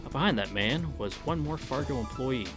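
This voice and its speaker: A man's voice: medium pitch, expressive, normal speed, music in the background. A man reading a visual novel aloud as a streamer, casually, with irreverent and crude wisecracks. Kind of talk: casual read-through with wisecracking commentary.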